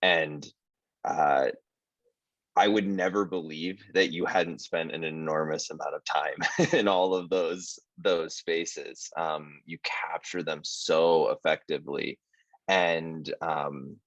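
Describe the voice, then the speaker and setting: An American man moderating a casual panel discussion, earnest and halting, with many fillers. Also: a bass voice, fairly bright tone, dry recording, poor audio. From United States.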